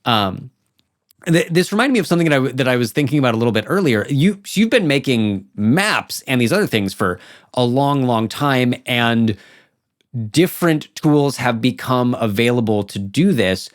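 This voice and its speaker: A man hosting a casual interview, agreeable and thinking out loud as he talks. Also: medium pitch, very animated, normal speed. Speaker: podcast host, interviewer.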